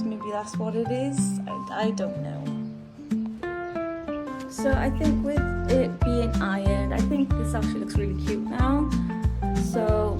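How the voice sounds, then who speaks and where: Young british woman rambling to camera, second-guessing herself, loud music behind. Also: fairly high pitch. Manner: chatty, rambling, self-doubting. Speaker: vlogger. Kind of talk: casual vlog chat.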